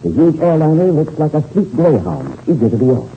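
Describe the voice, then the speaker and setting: A man's voice: on the high side, very animated, a little fast. A man narrating a 1930s radio adventure serial as announcer in a dramatic, declamatory style. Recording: scratchy, tinny old-time radio recording, noisy.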